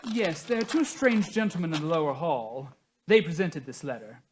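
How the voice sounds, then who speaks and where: A woman's voice: low voice, animated, normal speed, slight background noise. A woman playing a dutiful, formal household servant who reports deferentially to the mistress in a stage comedy. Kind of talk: theatrical stage comedy acting.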